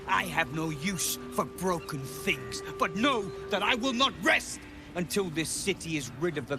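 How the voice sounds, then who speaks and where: A man playing a bitter, vengeful gnome rebel leader in a fantasy game, menacing and declamatory. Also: a little high. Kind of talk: cinematic fantasy game acting.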